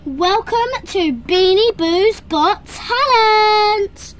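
A bubbly woman hosts a homemade toy talent show, announcing each act in a very high voice at a slow, drawn-out pace. Faint room hiss sits under her on a home camera mic. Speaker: show host narrator. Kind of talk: toy skit narration.

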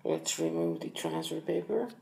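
A man with an Iranian accent giving a casual hands-on tutorial in short, halting phrases. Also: on the high side, huge pitch swings, creaky, warm tone. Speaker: electronics hobbyist presenter. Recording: roomy echo with faint noise.

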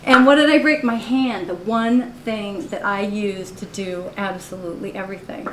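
A woman in her forties giving a gallery talk about her own artworks, candid and quick to laugh, with many "you know"s. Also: medium pitch, steady tone. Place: college art gallery. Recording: roomy live recording with faint noise.

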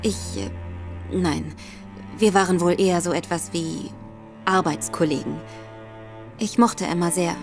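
A woman's voice: on the low side, lively intonation, measured pace, long pauses, faint music behind. A woman playing a poised, persuasive woman detective in a radio drama. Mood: gentle. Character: sharp, determined woman investigator.

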